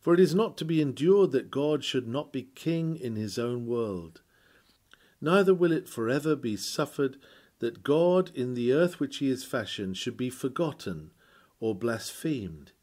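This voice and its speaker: Mellow-voiced man reading a solemn sermon. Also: medium pitch, expressive. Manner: solemn, declamatory, measured emphatic phrasing. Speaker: reader of a historical sermon. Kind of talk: sermon read aloud, formal scripted oratory.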